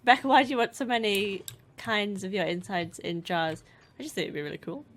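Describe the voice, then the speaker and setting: A woman's voice: mid-range voice, fairly quick. A young woman chats casually on a livestream and reads out viewer messages, laughing often. She grew up in the north of England. Faint keyboard clicks and noise sit behind her. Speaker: co-host reading chat.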